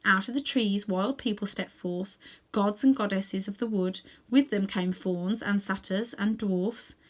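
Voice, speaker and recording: A woman's voice: medium pitch, a little slow. A woman reading a storybook aloud, narrating expressively with casual asides to the listener. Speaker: storybook reader.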